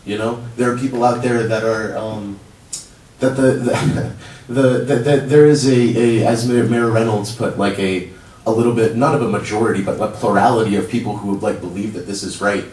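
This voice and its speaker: A young man whose first language is Urdu, speaking in a halting, pleading way at a public comment podium in a council chamber. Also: fairly low pitch, darkish tone. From child of immigrants. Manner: halting, many restarts, rising to pleading urgency. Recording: roomy hall, distant mic, faint hum.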